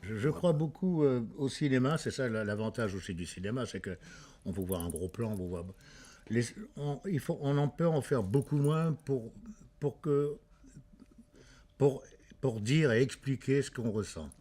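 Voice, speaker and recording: A man from France, an actor speaking in a casual interview, halting with many fillers and restarts. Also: mid-range voice, lively intonation, mellow, faint music behind.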